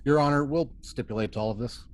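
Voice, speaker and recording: A medium-pitched male voice, formal and deliberate. A man acts out a stern starship first officer arguing as prosecutor in a sci-fi courtroom drama, read from a script. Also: slight background noise, natural voice. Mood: probing.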